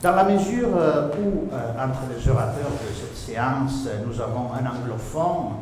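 A man's voice: mid-range voice, animated, measured pace. A man in his thirties whose first language is Italian, chairing a conference session and introducing a speaker with many hesitations, on a table mic in a seminar room. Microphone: table gooseneck mic. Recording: echoey room with noise.

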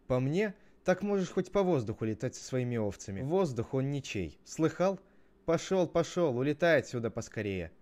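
A man voicing a domineering, hot-tempered grown brother in an animated parable, bossy and mocking. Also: medium pitch, a little fast, few pauses, lightly acted. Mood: scornful, angry.

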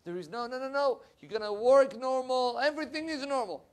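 A young man, a rabbi giving an animated Torah class, his voice swinging between loud bursts and low asides. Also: brisk. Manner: animated, with big swings in pitch and loudness and lively hand gestures. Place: wood-panelled room with bookshelves. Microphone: lapel.

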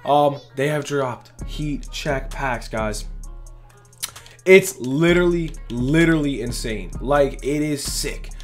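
A young man with a voice going hoarse, hosting a casual gaming video in hyped, rambling talk full of "literally" and "bro". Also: mid-range voice, takes it slowly, faint music behind. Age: young adult. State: losing his voice. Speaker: gaming channel host. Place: indoors at a gaming setup, face cam.

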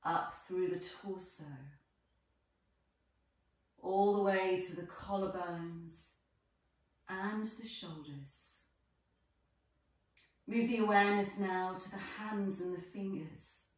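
A woman yoga teacher leading a guided relaxation with gentle, measured phrases and pauses between them. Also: medium pitch. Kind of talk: guided relaxation, soothing instruction. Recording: echoey room, distant microphone.

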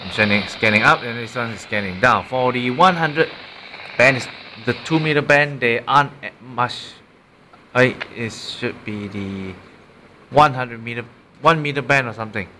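A man's voice: medium pitch, normal speed, sharp tone, slight background noise, low-quality recording. A man with a Malaysian accent casually showing a gadget, unscripted, often repeating and correcting himself. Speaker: amateur product reviewer. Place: small room indoors at a desk. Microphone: built-in mic of a handheld camera.

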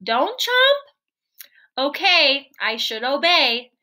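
A woman's voice: sits high, sing-song, extremely slow, background noise, heavily acted. A woman voicing a timid, eager-to-please young hippo in a storybook, with a hesitant, exclaiming delivery. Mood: anxious, obliging.

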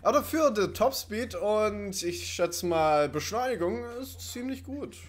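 A man's voice: sits high, lively intonation, unhurried. A man giving casual let's play commentary in a wry, sarcastic, joking way.

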